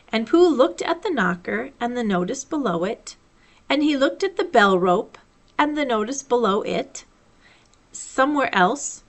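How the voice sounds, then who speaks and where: A woman reading a children's story aloud as a library storytime host, with expressive, deliberate phrasing. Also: fairly high pitch.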